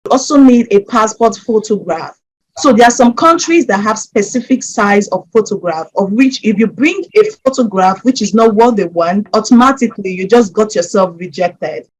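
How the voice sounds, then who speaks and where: Steady Nigerian woman explaining step by step. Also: medium pitch, normal speed, rough recording. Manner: steady, methodical, advising listeners directly. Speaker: scholarship advice presenter. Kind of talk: instructional explainer.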